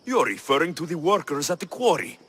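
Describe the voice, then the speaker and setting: An actor playing a blunt, wry mercenary hero in a video game set in ancient Greece, dry and direct. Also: male voice, fairly high pitch, sing-song, a little fast, fairly bright tone, slight background noise. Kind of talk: video game character acting.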